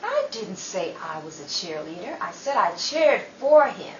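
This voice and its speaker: An actress playing an insecure, sentimental, jealous housewife in old-time radio style, emotional and pleading in manner. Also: female voice, fairly low pitch, very animated, unhurried. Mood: insecure, wry. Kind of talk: old-time radio comedy-drama acting. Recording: echoey, dull, distant, faintly noisy amateur recording.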